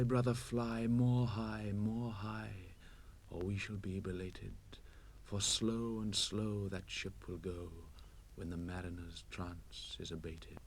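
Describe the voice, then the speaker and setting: An actor playing a grave, solemn spirit in a dramatic verse reading. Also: male voice, lowish voice, flat delivery. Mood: urgent. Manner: solemn, measured, incantatory. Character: grave unseen spirit voice guiding an enchanted ship. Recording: faint hiss of 1960s vinyl record.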